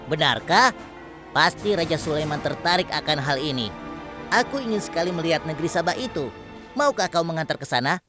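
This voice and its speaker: A man's voice: fairly high pitch, lively intonation, fairly quick, close to an everyday voice. A man voicing a curious, friendly bird in a children's cartoon. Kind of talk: Indonesian children's cartoon dubbing. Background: music.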